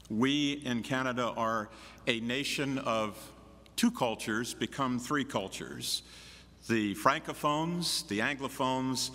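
An older man with a North American accent delivering a formal lecture in a measured, deliberate way. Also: medium pitch, sharp tone. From United States, Washington DC. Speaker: visiting academic speaker. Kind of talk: formal lecture read from manuscript. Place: large church chapel, at lectern. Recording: echoey large chapel, long reverb.

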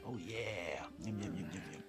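A man's voice: fairly low pitch, normal speed. A man giving casual let's-play commentary, muttering slangy, sweary reactions in short bursts. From Netherlands. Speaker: gaming streamer. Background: game music.

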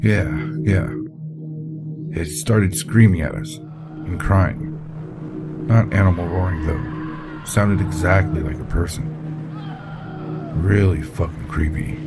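An actor playing a gruff, foul-mouthed, shaken military captain in an audio drama interview log. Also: male voice, deep voice, takes it slowly, long pauses. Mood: grim, uneasy. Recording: voice over loud background music.

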